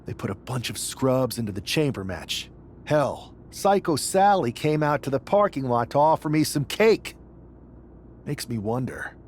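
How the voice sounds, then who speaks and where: Doubtful wrestler champ, normal pitch, earnest and skeptical game dialogue, noisy background. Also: male voice, very animated, unhurried, darkish tone.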